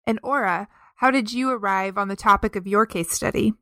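A woman's voice: medium pitch, a little fast, a bit bright, no room sound, studio quality. A woman moderating a panel interview, asking polite, structured questions.

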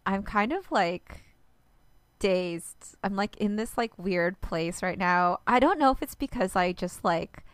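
A woman's voice: mid-range voice, a little slow, a bit bright, clear, resonant tone, no echo. A woman co-hosting a podcast in casual chat, talking with lots of fillers. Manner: chatty, laughs often, many fillers. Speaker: podcast co-host, author.

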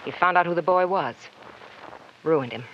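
A woman's voice: on the low side, moderate pace, close to an everyday voice. Woman playing cool, blunt young femme, muffled recording. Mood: cold resentment. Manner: blunt, coolly unsentimental. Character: cold, candid young society woman, hateful sister. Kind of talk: 1950s radio crime drama acting. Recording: muffled, noisy old radio recording.

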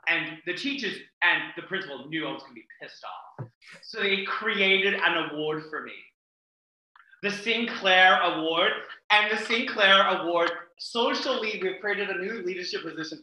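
Australian male podcast guest; sharp, emphatic casual talker with repetition for effect; strong room echo. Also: sits high, moderate pace, slight background noise. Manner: theatrical, emphatic, repeats words for effect. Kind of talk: casual chat, animated personal storytelling.

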